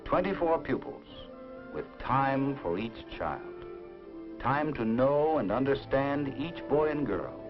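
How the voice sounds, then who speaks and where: An American male narrator delivers a scripted 1950s educational film in measured, dramatic phrases. His mid-pitched voice rises and falls widely over prominent music, on a muffled vintage soundtrack. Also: lively intonation.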